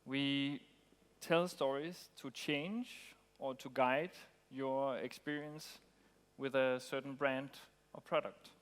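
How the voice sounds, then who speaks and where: A man from Denmark, giving a relaxed conference talk with frequent ums and pauses. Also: medium pitch, smooth. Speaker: conference speaker. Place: conference hall. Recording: long hall reverb.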